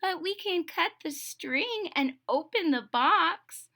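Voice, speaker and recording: A woman voicing a wistful, doubtful male toad in a children's storybook, with plaintive, drawn-out questions and objections. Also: extremely high pitch, expressive, pushed and bright, voice pushed up, exaggerated voice. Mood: doubtful objecting. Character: wistful, cookie-loving male toad, doubtful and literal-minded. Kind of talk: children's storybook read-aloud.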